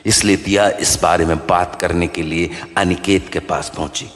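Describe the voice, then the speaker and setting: A man narrating a scripted horror story as a measured storyteller. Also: deep voice, fairly even intonation, a bit bright. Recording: long studio reverb.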